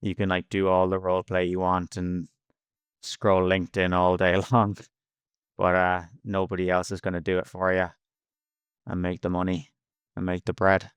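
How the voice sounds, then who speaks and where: A man's voice: deep voice, monotone, moderate pace, smooth, no room sound. An Irish man giving casual interview answers in a plain-spoken, slangy, blunt way. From Ireland. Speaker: podcast guest, freelance cold caller.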